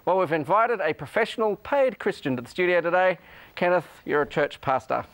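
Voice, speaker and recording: A man's voice: high-pitched, animated, fairly quick, a bit bright. Earnest New man hosting a show. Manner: earnest, leading questions, emphatic. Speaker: talk show host. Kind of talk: religious TV talk show hosting. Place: TV studio.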